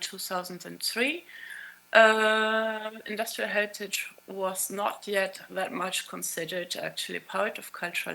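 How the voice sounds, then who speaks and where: Woman, non-native English speaker, conference keynote, frequent ums, gravelly, crisp bright tone. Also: fairly low pitch, low-quality recording. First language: not English. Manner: hesitant, many ums and uhs. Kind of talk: conference presentation. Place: conference hall.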